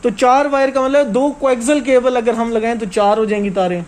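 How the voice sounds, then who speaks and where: A man's voice: extremely high pitch, fairly even intonation, fairly quick. A man giving an informal hands-on technical lesson as a trainer, explaining step by step and often checking that listeners follow.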